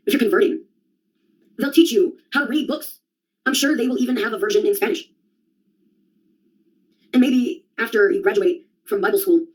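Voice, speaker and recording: A woman's voice: fairly high pitch, a little mellow, breathy edge, poor audio. A young adult woman in a rambling solo monologue, sardonic and profane, jumping from one topic to the next. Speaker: vlogger.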